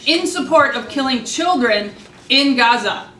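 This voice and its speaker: Female protester, high bright voice, accusatory and forceful, distant in an echoey room. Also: expressive, measured pace. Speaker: activist. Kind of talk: impassioned protest argument. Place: conference room, far camera mic. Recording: distant mic, echoey room, faint noise.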